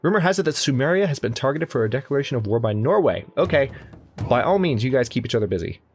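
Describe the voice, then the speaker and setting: A man's voice: medium pitch, big pitch swings, fast talker, a little hiss or hum. A young man casually commenting on a strategy game as he plays, thinking aloud and often correcting himself. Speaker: let's play host. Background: faint game music.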